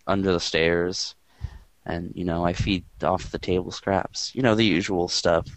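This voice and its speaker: A man hosting a podcast in casual chat, with a quiet, monotone voice and a rambling, dry way of talking. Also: low voice, measured pace, no room sound, poor audio. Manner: rambling and dry, filler-heavy. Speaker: podcast host.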